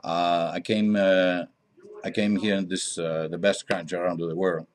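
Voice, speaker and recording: A middle-aged Greek man speaks in a low-pitched voice with a Greek accent. He talks conversationally as an interview guest, picking his way through English as a second language in halting phrases with frequent pauses. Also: level tone. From Greece. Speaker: interview guest, diner owner.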